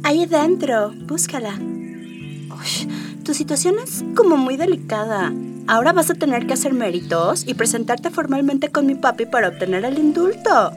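Actress as spoiled young space princess, Mexican accent. Lively, sassy, bossy comedy radio delivery, music underneath. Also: female voice, sits high, normal speed. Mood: haughty. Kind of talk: comedic radio drama acting. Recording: distant miking, music underneath.

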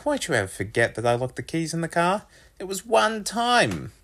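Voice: medium pitch, wildly swooping pitch, a little slow, fairly bright tone, voice pushed up. A narrator voicing a self-conscious schoolboy in a storybook, whiny, sarcastic and complaining. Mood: whining.

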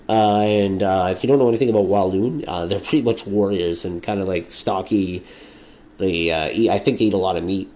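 A man's voice: fairly low pitch, a little mellow. Rambling young man with a sniffly cold. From Montreal, Canada. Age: 20s. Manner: rambling, candid, swears freely, many fillers. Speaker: podcast host. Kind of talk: casual solo podcast monologue.

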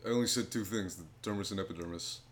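Brief: male co-host, fairly low voice, teasing banter, blunt and crude. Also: fast talker. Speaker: podcast co-host. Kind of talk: casual podcast chat.

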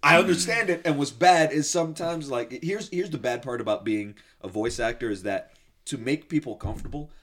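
A man and voice actor telling stories in casual podcast banter with friends, animated and laughing often. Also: medium pitch, brisk.

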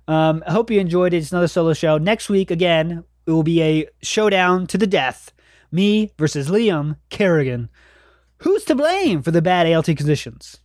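Earnest rambling man, slightly high voice. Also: dry recording, clean studio sound. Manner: earnest, rambling, self-correcting pep talk. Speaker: podcast host. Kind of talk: solo podcast monologue.

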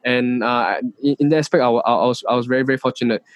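A young Singaporean man speaking as an interview guest, pausing and restarting his sentences as he works out his thoughts. His voice has an ordinary, mid pitch and is recorded dry, with no room sound around him. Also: dry recording. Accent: Singaporean English. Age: young adult. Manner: hesitant, many restarts and fillers. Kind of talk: casual interview chat.

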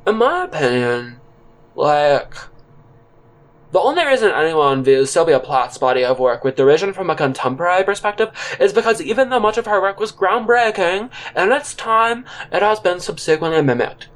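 A man's voice: fairly high pitch, a bit bright, voice pushed up, poor audio. A man playing an irritable, world-weary fired worker in an audio drama, speaking in a sardonic, long-winded, emphatic way. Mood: consoling. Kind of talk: first-person audio drama narration and dialogue.

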